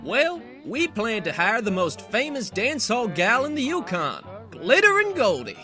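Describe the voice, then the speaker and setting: A man's voice: very high-pitched, wildly swooping pitch, unhurried, bright, forceful tone, faint music behind, exaggerated voice. A man acting a brash, confident Wild West lawman turned saloon businessman in a fan comic dub. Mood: businesslike.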